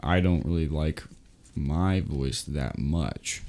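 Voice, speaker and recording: A man's voice: a bass voice, takes it slowly, darkish tone. A young man co-hosting a podcast, talking in a laid-back, hesitant way. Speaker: podcast co-host. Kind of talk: casual chat.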